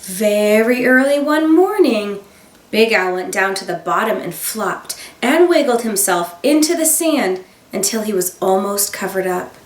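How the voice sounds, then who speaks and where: Slow, expressive teacher reading a storybook. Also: female voice, medium pitch. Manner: expressive storytelling with gasps and dramatic emphasis. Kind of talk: children's picture book read-aloud. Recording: roomy sound, voice a bit far from the mic.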